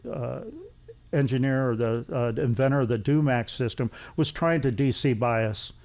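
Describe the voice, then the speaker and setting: A middle-aged or older man giving casual technical explanations as an interview guest, in a halting, rambling way with repetition. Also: medium pitch, gravelly, a little mellow. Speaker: interview guest, loudspeaker engineer.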